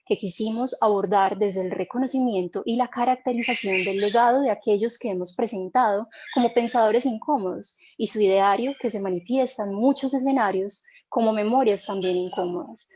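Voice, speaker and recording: A woman moderating an online panel, reading a formal introduction in a measured way. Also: medium pitch, a little mellow, dull audio.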